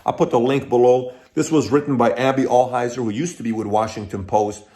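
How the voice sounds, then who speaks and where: A middle-aged man from Iran speaks straight to his viewers as the host of his own channel. He is emphatic and builds his case point by point, often restarting a phrase before pushing on. His voice sits at an ordinary middle pitch. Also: fairly even intonation, a little fast, warm tone. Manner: emphatic, talks in lists with frequent restarts. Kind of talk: direct-to-camera commentary.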